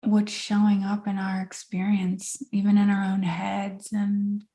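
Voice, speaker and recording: A woman co-hosting a podcast, talking in a slow, thoughtful, reflective way and searching for words. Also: medium pitch, monotone, mellow. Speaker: podcast co-host and coach. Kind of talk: reflective casual chat.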